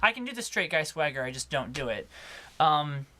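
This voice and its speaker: A young man speaks in a naturally high voice for a male, chatting as a vlogger would. His delivery is casual and personal. He is expressive and leans on certain words, stretching them out for emphasis. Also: moderate pace. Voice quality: higher-pitched voice for a man. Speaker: video blogger. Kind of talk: casual personal vlog talk to camera.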